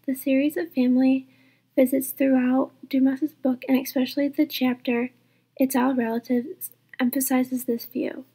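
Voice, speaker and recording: A young woman reads a student book report aloud at a careful, steady pace. Her voice sits a little high yet stays flat and even. Its tone is soft and mellow. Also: slightly rough, rough recording. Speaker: student presenter.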